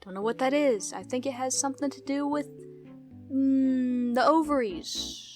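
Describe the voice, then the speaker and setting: A woman's voice: high voice, moderate pace, faint music behind. Giggly young woman rambling through a reaction vlog. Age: 20s. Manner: giggly, rambling, self-deprecating. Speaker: YouTuber.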